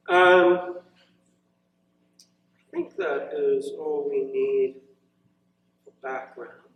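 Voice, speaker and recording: A male poet reads his work aloud to a live gathering, with measured verse and loose, conversational asides. His voice sits fairly high for a man. It comes through heavily echoing, picked up some way from the microphone. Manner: measured verse delivery, informal hesitant asides. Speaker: poet reading own work. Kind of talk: live poetry reading with casual asides. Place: indoor college reading event, echoing room. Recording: very echoey room, distant mic.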